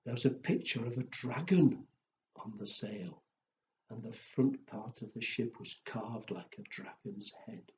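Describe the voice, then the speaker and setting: A man tells stories and rhymes to small children. His phrasing is simple and playful, at a middling pitch. The recording is roomy and a little dull, with faint noise behind him. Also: expressive, a little slow, a little mellow. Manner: playful, simple phrasing with acted woofs and exclamations. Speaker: children's storyteller. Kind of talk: storytelling and counting rhymes for young children. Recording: echoey room, dull sound, faint noise.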